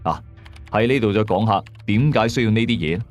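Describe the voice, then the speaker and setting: A man narrating a scripted first-person horror story, measured, with conversational asides. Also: on the low side. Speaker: audio drama narrator. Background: background music.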